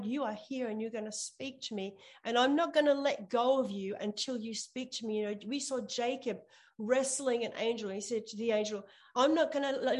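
A woman pastor giving a sermon and prayer, speaking earnestly and repeating phrases for emphasis. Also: on the high side. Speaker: pastor preaching to a church.

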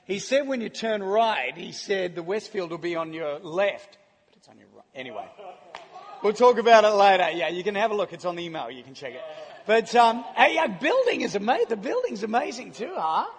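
A middle-aged man with a croaky voice, speaking as a guest preacher in a chatty, self-deprecating way with frequent laughs. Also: high voice, sing-song, fairly quick. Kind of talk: casual, joking church talk. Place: large church hall. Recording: live church hall with long reverb.

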